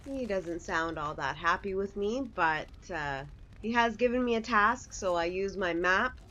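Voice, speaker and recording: A woman's voice: mid-range voice, measured pace, sharp tone, highs cut off, slight background noise. A woman giving a casual, conversational walkthrough of a video game as its host, explaining things as she goes. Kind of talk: casual game tutorial commentary. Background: game music.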